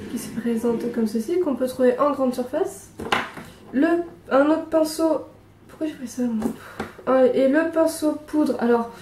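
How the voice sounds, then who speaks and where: A young woman with a hoarse, cold-roughened voice, hesitantly talking through a makeup tutorial. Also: high voice, mellow, distant mic, a little hiss or hum. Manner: hesitant, many pauses and restarts. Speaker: beauty vlogger. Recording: echoey room, away from the mic.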